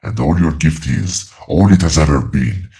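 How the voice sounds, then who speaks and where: An actor playing an ancient otherworldly being in a horror audio drama, solemn and coldly knowing, speaking in slow, deliberate, ominous pronouncements. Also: male voice, warm tone. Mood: ominous, instructive. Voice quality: very deep, gravelly creaking voice.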